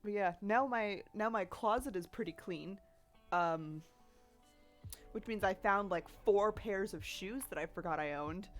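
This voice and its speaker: A woman's voice: medium pitch, measured pace, faint music behind. A sniffly young woman streamer chatting casually from her bedroom, rambling and laughing often as she reads chat while she draws. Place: home bedroom.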